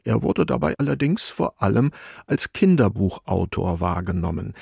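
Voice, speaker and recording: A man presenting a scripted radio music programme as its host, with a gravelly, husky voice. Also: low voice, darkish tone, dry recording, studio quality.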